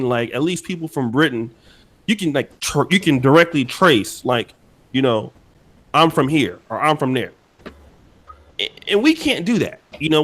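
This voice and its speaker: An American man hosts a relaxed podcast chat, and his pitch sits in an ordinary middle range. He talks loosely and circles back on himself, restarting sentences and reaching for fillers as he puts questions to his guests. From United States. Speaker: podcast host. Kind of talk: casual podcast discussion.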